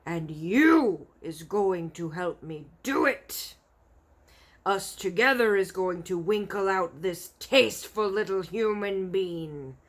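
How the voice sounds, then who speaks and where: A huge, brutish storybook giant acted out by a woman reader bellows slowly and forcefully. The delivery is loud, bullying and crude, with drawn-out words and mangled grammar. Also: animated. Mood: furious, bullying. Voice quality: booming, forceful shouting.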